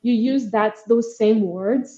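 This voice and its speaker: A woman's voice: on the high side, slow pace, dark tone, dull audio. A young woman with a Kenyan accent, a startup co-founder earnestly answering interview questions with frequent ums and likes.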